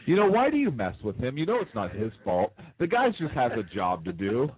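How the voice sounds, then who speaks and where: A man's voice: mid-range voice, wildly swooping pitch, brisk. An American man co-hosting a casual political talk show, telling stories with animation and teasing his co-host. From United States. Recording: dry, phone-like narrow sound.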